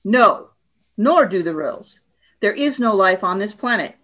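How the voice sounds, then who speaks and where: Stern alien female war leader, played by a woman: cold, formal, commanding, mid-pitched, radio drama. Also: expressive, measured pace, fairly bright tone, lo-fi sound. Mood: cold, severe. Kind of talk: radio play acting.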